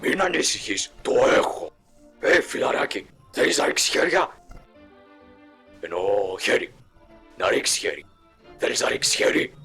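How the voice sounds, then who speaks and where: A man's voice: medium pitch, big pitch swings, vocal fry, pauses often, bright, forceful tone, husky, faint music behind, pitched above the performer's own voice, full character voice. A man voicing a brash, boastful killer monster in an amateur cartoon fandub. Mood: cocky. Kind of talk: amateur animated fandub acting.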